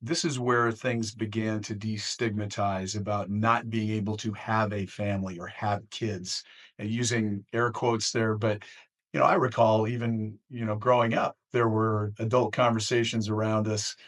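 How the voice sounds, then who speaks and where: A man hosting an interview, asking questions conversationally in short, thoughtful, measured phrases. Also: lowish voice, little pitch movement, fairly bright tone. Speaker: interviewer, host.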